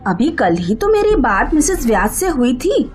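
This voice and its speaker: A woman's voice: high voice, big pitch swings, moderate pace, music in the background. A woman voicing a worried, insistent female neighbour in a cartoon horror story. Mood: shocked, insistent. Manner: earnest, insistent.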